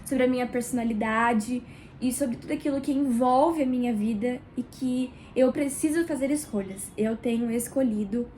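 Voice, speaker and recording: Brief: high-voiced Brazilian woman, book club host, nasal from a cold, chatty and direct, small kitchen with a faint hum behind. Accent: Brazilian Portuguese. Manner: warm, chatty, addresses viewer directly with pauses. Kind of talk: casual devotional reading vlog.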